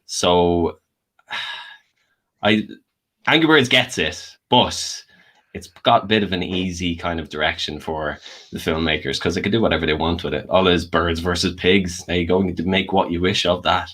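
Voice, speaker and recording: A man's voice: deep voice, steady tone, normal speed, a bit bright. A man with an Irish accent giving his opinions in a casual film discussion. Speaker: panel guest. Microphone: online group call.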